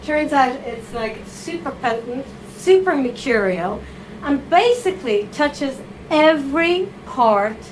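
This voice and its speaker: A woman giving a chatty, informal talk to a live audience, full of asides, fillers and quick "okay?" checks. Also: high-pitched, poor audio. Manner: chatty, animated, with frequent fillers and tag questions. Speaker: writer giving a reading. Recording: audible background noise.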